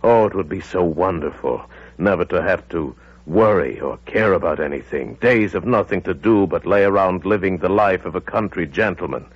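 Man, very low voice, 1940s radio narration, suspenseful delivery, muffled old broadcast recording. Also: normal speed, dry recording. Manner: suspenseful, building to urgent intensity.

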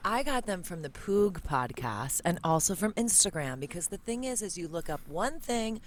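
A woman with a low voice chats on a podcast from her home recording setup. She rambles and laughs often. Faint noise sits behind her. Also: big pitch swings, moderate pace. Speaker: podcast guest. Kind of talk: casual podcast chat. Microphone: studio mic with headphones.

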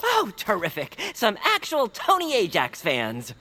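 An actress playing a polite, homely companion who speaks chirpily. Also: female voice, medium pitch, wildly swooping pitch, measured pace, bright, forceful tone, heavily acted.

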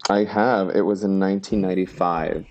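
A young adult man from Miami, a drag performer guesting on a podcast, chatting playfully with campy quick wit. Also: low-pitched, steady tone, a little mellow, muffled recording. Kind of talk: casual chat.

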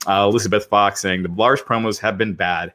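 A man's voice: on the low side, fairly even intonation, brisk, fairly bright tone. A man co-hosting a podcast in casual chat, agreeable and easygoing, reading out listener comments. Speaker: podcast co-host.

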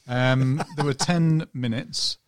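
A man with a Northern English accent, a journalist guest on a casual podcast, talking in a flat, self-described monotone and rambling a little. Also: mid-range voice, slow pace, clean tone, no room sound.

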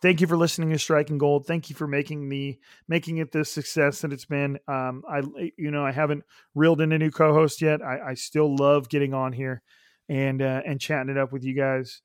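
A young man with a hoarse, raspy voice hosts a solo podcast in a loose, rambling way, full of fillers. He talks a little higher than most men. The sound is dry and close to the mic. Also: flat delivery, brisk, no room sound. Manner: rambling, upbeat, lots of fillers. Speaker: podcast host. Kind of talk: solo casual podcast chat.

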